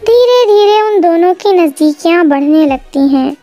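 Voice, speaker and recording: A woman's voice: very high-pitched, animated, moderate pace, warm tone, soft background music. A woman narrating a scripted cartoon story as the storyteller.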